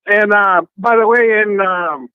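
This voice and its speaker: A man in his fifties from Texas, calling in from his car while driving, speaking politely in halting sentences with many restarts. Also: high voice, clean tone, no room sound. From Texas, USA. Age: 50s. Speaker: caller. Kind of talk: phone call-in. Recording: dull phone line.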